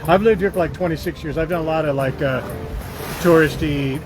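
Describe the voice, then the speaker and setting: A man hosting a casual walking live stream outdoors, chatting with strangers in a teasing, quick-questioning way. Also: on the high side, over music, poor audio. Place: outdoors in a busy city park.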